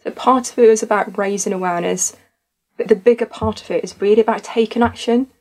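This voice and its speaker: A woman's voice: medium pitch, steady tone, fast talker, a little mellow, poor audio. A young woman from Tunisia, a student society founder, talking earnestly and reflectively in a podcast conversation.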